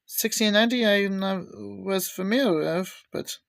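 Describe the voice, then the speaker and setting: Slow-paced woman showing items on camera. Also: medium pitch, vocal fry, dry recording. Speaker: video maker showing cassette tapes. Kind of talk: casual unscripted show-and-tell narration.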